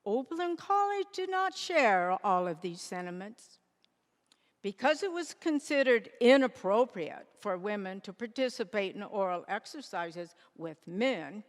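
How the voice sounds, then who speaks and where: Slow, dignified suffragist played by a woman, echoing hall. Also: on the high side. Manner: measured, deliberate oratory. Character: Lucy Stone, dignified resolute 19th-century women's rights pioneer. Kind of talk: scripted readers theater monologue. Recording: echoey hall with long reverb.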